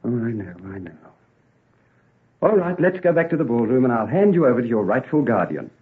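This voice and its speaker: An actor playing a lovelorn, jealous gentleman suitor, earnest and impassioned, in an old-time radio drama. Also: mid-range voice, big pitch swings, brisk, lightly acted. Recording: tinny, narrow old radio recording.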